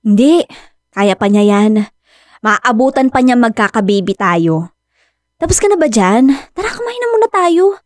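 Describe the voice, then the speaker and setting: A woman's voice: fairly high pitch, very animated, measured pace, darkish tone, no room sound. An actress with a Filipino accent playing a devoted, anxious young woman who dotes on her aging dog in an emotive radio drama. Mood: concerned. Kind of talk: Tagalog radio drama acting. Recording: music bed behind dry studio voice.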